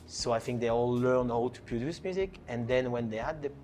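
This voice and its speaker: A man's voice: mid-range voice, a little fast, darkish tone, soft background music. French guy chatting about his dj path. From France. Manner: chatty, many fillers and restarts. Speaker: DJ and producer guest. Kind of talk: casual interview chat.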